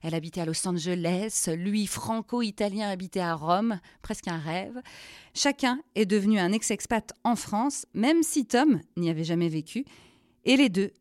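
A woman hosting a podcast, narrating from a script and questioning her guests in a lively, conversational way. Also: medium pitch, normal speed, dry recording, clean studio sound. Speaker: podcast host.

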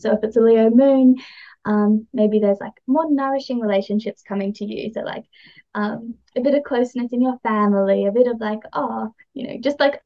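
A woman's voice: fairly high pitch, vocal fry, mellow, lo-fi sound. A woman chatting casually as she explains astrology as a podcast guest, informal, enthusiastic and encouraging.

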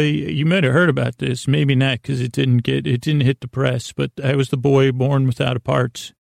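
Very gravelly, meandering male podcaster. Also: mid-range voice, darkish tone, dry recording, studio quality. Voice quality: gravelly, creaky. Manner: meandering, halting, stammering, trailing off mid-thought. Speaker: podcast host. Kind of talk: rambling bedtime sleep-podcast monologue.

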